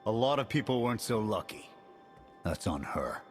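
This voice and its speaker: Dutiful game major: deep, gruff, formal, expressive military bark. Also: male voice, normal speed. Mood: indignant. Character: proud, dutiful, stern military squad major. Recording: reverberant game hall, faint music.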